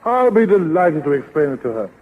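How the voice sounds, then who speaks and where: An actor playing a genial, formal lawyer with an affable manner in a 1930s radio comedy. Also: male voice, on the high side, big pitch swings, fairly quick, husky. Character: genial, formal family lawyer with corny sayings. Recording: tinny, narrow old radio broadcast.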